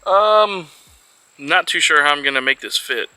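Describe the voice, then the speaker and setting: A man's voice: fairly high pitch, lively intonation, unhurried, bright, forceful tone, slight background noise, low-quality recording. A man talking informally as he works through a hands-on installation, chatting to viewers in a casual how-to vlog style and thinking aloud. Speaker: vlogger and trucker showing a DIY install.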